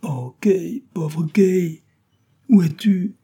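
A man voicing a gentle, frightened little girl who talks in an anxious, pleading way. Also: mid-range voice, animated, fairly quick, slightly rough.

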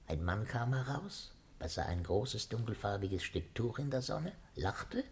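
Casting: observant, hushed sidekick, gravelly medium voice, wary, vintage radio recording with slight noise. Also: male voice, on the low side, sing-song, a little slow, heavily acted. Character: watchful, curious male companion, hushed and wary. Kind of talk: 1950s German radio drama acting. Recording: noisy 1950s radio drama recording.

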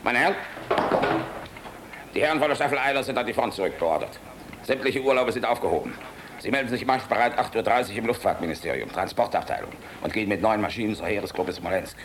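A man's voice: lowish voice, lively intonation, fast talker, background noise. An actor playing a boisterous, cynical, fatherly middle-aged general in a German radio play, hearty and teasing, commanding one moment and confiding the next. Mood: sober commanding. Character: boisterous, jovial, cynical Luftwaffe general, fatherly toward young officers.

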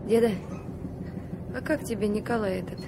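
An old, clearly noisy radio drama recording with a woman playing a young deckhand granddaughter. She talks informally and pertly, with spirit. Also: mid-range voice, normal speed, lightly acted. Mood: curious. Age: young adult. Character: spirited young granddaughter helping aboard a river boat. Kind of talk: Soviet radio play acting.

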